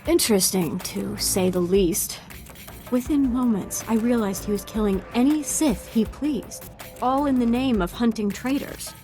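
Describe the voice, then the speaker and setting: A woman plays a young dark-side apprentice in a space-fantasy game. Her mid-range voice is composed and earnest, devoted yet quietly murderous. Light music plays underneath. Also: medium pitch, close to an everyday voice. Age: young adult. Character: devoted, bloodthirsty young female Sith apprentice. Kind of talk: video game voice acting.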